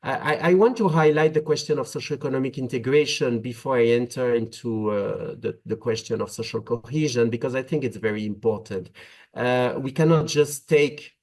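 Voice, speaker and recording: A man with a French accent gives a policy talk over a video call. He speaks in measured phrases broken by many "uh" pauses. His voice sits at an ordinary middle pitch. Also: darkish tone. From France. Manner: hesitant, frequent "uh" fillers, measured phrasing. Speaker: webinar panelist on migration policy. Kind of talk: policy talk, webinar presentation.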